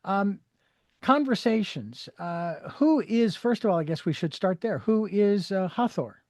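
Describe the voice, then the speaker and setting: A man's voice: high-pitched, lively intonation, unhurried, darkish tone, no echo, studio quality. A man hosting an interview show, speaking in a rambling way with many fillers and restarts. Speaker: podcast host.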